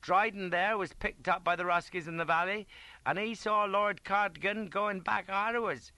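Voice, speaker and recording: A proud, chirpy wounded English trooper pipes up in a gossipy way. The working-class voice is high and bright, in a very dry audiobook. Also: male voice, fairly even intonation, normal speed, no room sound. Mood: proud, eager. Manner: piping, gossipy.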